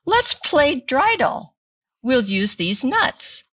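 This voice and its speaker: A woman's voice: high-pitched, big pitch swings, slow pace, a bit bright, no room sound, lo-fi sound, pitched above the performer's own voice, full character voice. A woman voicing a kindly, chatty old grandmother in a children's picture book, fond and fussing, with singsong exclamations. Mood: playful fussing.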